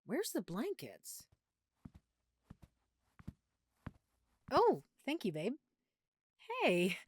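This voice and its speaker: Woman acting as nerdy affectionate girlfriend in audio rp, super animated pitch, dry close sound, playful and a bit fussy. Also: no echo. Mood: cozy, affectionate. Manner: playful, fussy, expressive, explanatory. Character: affectionate, nerdy, opinionated girlfriend, vampire lore buff. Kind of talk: audio roleplay, intimate one-sided conversation.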